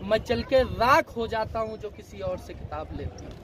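A man's voice: high-pitched, lively intonation, moderate pace, fairly bright tone. A man chatting and joking with friends on a travel vlog, reciting verses for them outdoors. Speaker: vlogger. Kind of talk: casual travel vlog chat. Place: outdoors at busy tourist spots. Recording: outdoor crowd noise. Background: crowd chatter.

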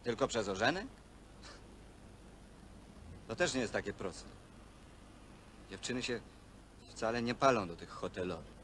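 An actor playing a lonely, bitter young hostel worker in a naturalistic film drama, speaking plainly and haltingly. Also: male voice, fairly low pitch, animated, a bit bright. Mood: aggrieved. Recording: noisy old film soundtrack.

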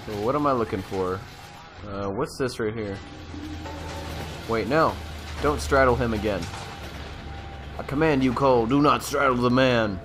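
A man's voice: mid-range voice, unhurried, pauses often. A young man giving casual, jokey commentary on a game, slangy and thinking aloud. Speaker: gamer, walkthrough host. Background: faint game music.

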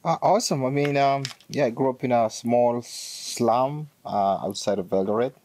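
A man's voice: medium pitch, normal speed, a little mellow, dry recording. A man from Kenya with a Kenyan accent, talking in a laid-back, chuckling, hesitant way as an interview guest. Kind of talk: casual conversation.

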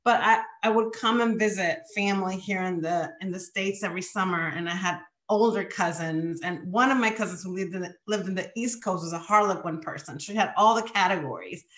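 A woman's voice: mid-range voice, fairly quick, hardly any pauses, a bit bright. Woman from the dominican republic, panel chat, roomy echo, video call. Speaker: romance author on a panel. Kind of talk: casual panel talk. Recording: echoey room on a video call.

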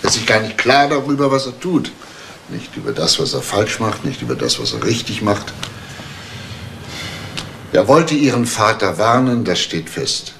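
Low-voiced probing detective, noisy recording. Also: male voice, big pitch swings, fairly bright tone. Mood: thoughtful. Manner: deliberate, insistent, patronising. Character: calm, probing male police detective, paternal but firm. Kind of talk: German radio crime drama acting. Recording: noisy retro radio drama recording.

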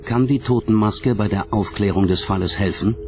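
A man's voice: on the low side, moderate pace, noisy background. A man reading scripted documentary narration as the narrator.

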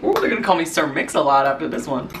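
A bubbly young man with a high, lively voice that jumps all around. He teases and chats playfully, recorded by a camera mic in a roomy home kitchen with a faint hum behind. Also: fast talker. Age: young adult. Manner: bubbly, giggly, teasing. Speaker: vlog host. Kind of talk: casual, playful vlog chat.

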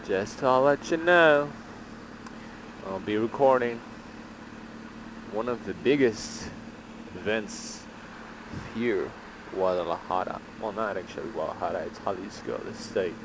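A man talking casually to viewers as a motorcycle vlogger, speaking in short phrases with long pauses while riding. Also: on the low side. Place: outdoors on a motorcycle in city traffic. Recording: traffic, engine and wind noise.

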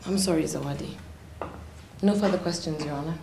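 A woman playing a composed, probing defence lawyer in a TV courtroom drama, with a Kenyan accent and measured, formal questioning. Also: low-pitched, vocal fry. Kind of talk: TV courtroom drama cross-examination. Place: indoor courtroom set. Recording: roomy echo with faint noise.

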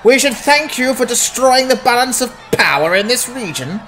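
A man's voice: very high voice, animated, moderate pace, fairly bright tone, a little hiss or hum, exaggerated voice. A man voicing a self-righteous village priest in a fantasy audiobook, declaiming and accusing with outrage. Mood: bitter blame. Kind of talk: dramatized fantasy audiobook acting.

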